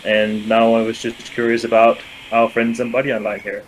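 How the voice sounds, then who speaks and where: A man's voice: medium pitch, completely flat delivery, moderate pace, slight background noise, poor audio. A young man whose first language is Danish, a guest in a casual chat, speaking with many fillers and restarts. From Denmark.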